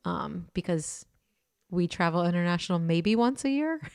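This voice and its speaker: A woman co-hosting a podcast in casual chat, joking and laughing often, her voice a bit deeper and tired in the evening. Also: lively intonation, moderate pace, vocal fry, clean tone, dry recording, studio quality. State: tired late in the day. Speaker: podcast co-host.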